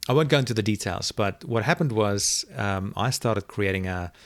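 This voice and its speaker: A middle-aged man whose first language is Afrikaans, reflectively telling a personal story as an interview guest and often pausing to search for words. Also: fairly low pitch, no echo. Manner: thoughtful, searching for words, many restarts.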